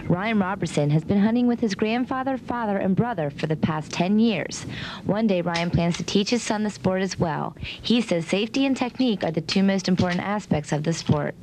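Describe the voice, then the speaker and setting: A woman's voice: expressive, moderate pace. A young woman reading a scripted field report for a student TV newscast. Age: young adult. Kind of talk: scripted news report narration. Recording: clearly noisy, low rumble.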